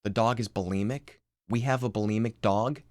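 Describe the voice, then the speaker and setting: A man's voice: fairly low pitch, steady tone, normal speed, a little mellow, no echo, clean studio sound, lightly acted. A man voicing a sardonic, incredulous eighteen-year-old son in a screenplay reading.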